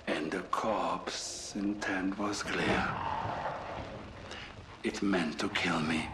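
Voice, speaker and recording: An actor with a German accent playing an obsessed, sadistic middle-aged Nazi scientist in a video game cutscene, theatrical, grandiose and menacing. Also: male voice, sits low, fairly even intonation, extremely slow, background noise. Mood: grave, awed.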